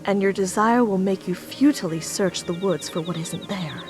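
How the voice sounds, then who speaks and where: A woman playing an ancient goddess of dark woods in a visual novel, speaking in a formal, measured, sorrowful way. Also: mid-range voice, lightly acted. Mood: solemn. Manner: solemn, formal, measured speech. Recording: background music.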